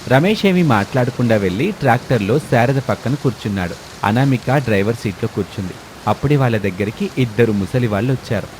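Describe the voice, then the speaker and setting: A man reads a scripted story as its narrator. His pitch is middling for a male voice. Clear rain and engine noise plays behind the narration. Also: lively intonation, quick pace.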